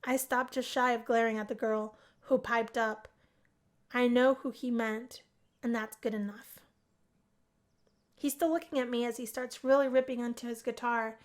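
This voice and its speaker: A woman reading first-person visual novel narration aloud as an expressive storyteller. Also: on the high side, normal speed. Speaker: gaming video host, narrator.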